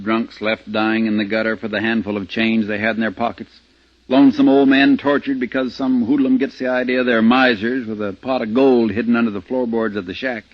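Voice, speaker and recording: A man's voice: fairly low pitch, lightly acted. An actor playing an ordinary middle-aged American family man in a 1950s radio drama, with a folksy, plain-spoken delivery. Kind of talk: 1950s radio drama acting, first-person narration and dialogue. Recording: muffled, noisy 1950s radio recording.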